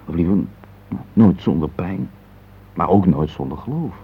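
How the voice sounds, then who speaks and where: A man's voice: sits low, animated, measured pace, slightly rough, full character voice. An actor playing a reflective, tender middle-aged husband in a radio play, hesitant and searching for words as he speaks. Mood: earnest, gentle. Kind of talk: intimate radio play dialogue. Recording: muffled phone-like old radio recording, hissy.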